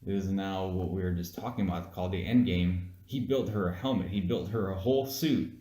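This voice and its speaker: A man's voice: low-pitched, fairly quick, dark tone. A man in a casual chat as an amateur reviewer, explaining things insistently and with some swearing. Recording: roomy, echoing room sound.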